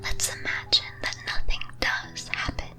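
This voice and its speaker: A woman whispers a scripted ASMR monologue in a breathy, teasing, theatrical and deliberate way. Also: pushed and bright, faint music behind. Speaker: streamer performing as a character.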